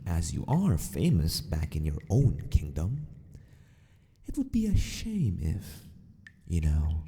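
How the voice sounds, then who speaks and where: A man playing a sadistic, possessive mad scientist in an ASMR roleplay, mock-polite and menacing, often sinking into a breathy whisper. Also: low-pitched, warm tone, heavily acted. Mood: menacing, gloating.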